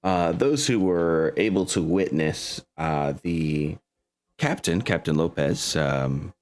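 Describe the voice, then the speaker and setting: A man's voice: deep voice, slow pace. A man chatting casually as game master of a tabletop game, rambling and correcting himself as he goes.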